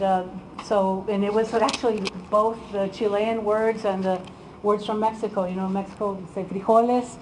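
A woman's voice: mid-range voice, a little mellow, slight background noise, low-quality recording. A woman from Iowa giving a chatty, informal talk to an audience as a guest speaker, with frequent ums and laughs. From Iowa, USA.

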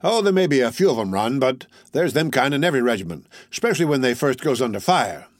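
A man's voice: medium pitch, expressive, a little fast, no room sound, studio quality, pitched above the performer's own voice, lightly acted. A man reading aloud and voicing a boastful tall young soldier who talks in a drawling, folksy way. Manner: drawling, folksy, sure of himself.

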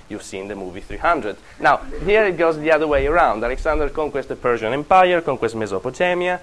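A man's voice: medium pitch, poor audio. A man with an Italian accent lecturing a class, digressing often, correcting himself and joking with students. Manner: digressive, self-correcting, jokey asides to students. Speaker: university lecturer.

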